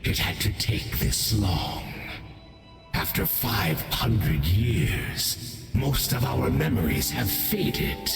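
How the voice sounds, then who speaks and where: Slow, echoing monstrous villain. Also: male voice, low-pitched, sing-song, creaky, full character voice. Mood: solemn, regretful. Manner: solemn, declamatory, formal. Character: grandiose, scheming monstrous herald villain serving a royal master. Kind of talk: theatrical video game villain acting. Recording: long echoing reverb over background music.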